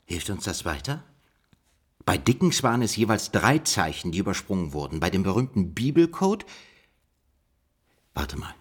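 A husky man on the low side, playing a learned code-breaker in a radio drama. He muses and questions with very lively, swinging intonation. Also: normal speed, long pauses, full character voice. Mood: excited reasoning. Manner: musing, erudite, questioning. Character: pensive male code-breaker puzzling over word riddles. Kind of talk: German radio play dialogue.

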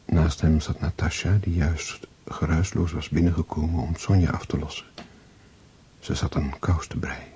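A man narrating a literary radio drama in a measured storytelling manner. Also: a bass voice, level tone, mellow, slight background noise.

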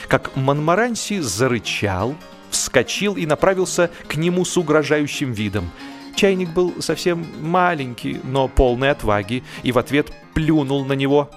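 A male audiobook narrator with a medium-pitched voice. He delivers humorous literary prose theatrically, with mock solemnity and expressive phrasing. Soft music plays beneath him.

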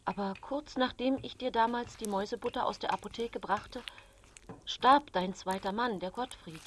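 A woman's voice: on the high side, moderate pace, a bit bright, slight background noise, natural voice. A woman playing a grieving, suspicious mother who speaks in a subdued, accusing way in radio drama dialogue. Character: grieving, suspicious mother confronting her best friend.

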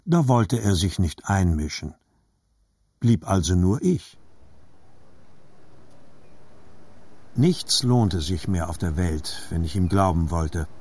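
An actor playing a reflective adult narrator remembering his boyhood, reading literary narration in a measured, husky voice. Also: sits low, lively intonation, darkish tone, full character voice. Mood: melancholy.

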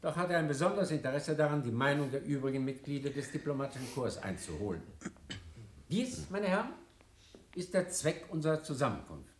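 A pompous senior diplomat in a radio drama. His fairly high voice swings widely through solemn, florid oratory. The room around it is echoey. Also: very animated, a little fast, slight background noise. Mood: solemn, formal. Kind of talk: radio play acting, formal speech.

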